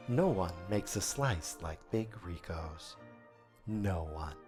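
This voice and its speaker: A man playing an exasperated, sarcastic small-town radio host in a comic audio drama, theatrical and grumbling. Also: mid-range voice. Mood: smooth announcer.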